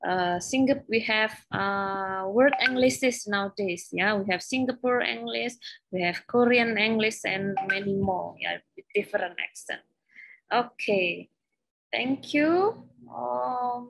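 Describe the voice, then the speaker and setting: Lady hosting q&a on a video call, slow and polite, bit of vocal fry. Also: on the high side, a bit bright, low-quality recording. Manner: polite, simple phrasing, many "yeah" and "okay" fillers. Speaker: seminar moderator. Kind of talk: moderating a Q&A.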